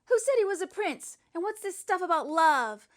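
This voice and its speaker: A woman's voice: very high-pitched, big pitch swings, normal speed, voice pushed up. A woman voicing a sentimental schoolgirl who earnestly and dramatically tells a princess fairy tale from a storybook. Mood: indignant.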